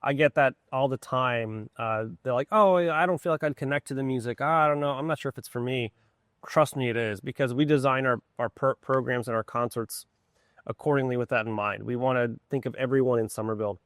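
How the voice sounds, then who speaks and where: A man's voice: mid-range voice, a little fast, a bit bright, no echo. Enthusiastic man chatting in a close, dry interview. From Poland. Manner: enthusiastic, informal. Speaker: orchestra music director, interview guest. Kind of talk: casual conversational interview.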